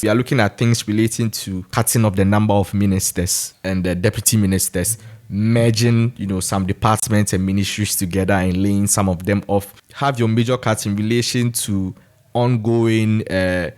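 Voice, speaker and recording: A man with a Ghanaian accent shares his opinions as an informal commentator. His voice sits on the low side. He talks conversationally, in a frank and critical manner. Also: darkish tone. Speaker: commentator giving his views on national news.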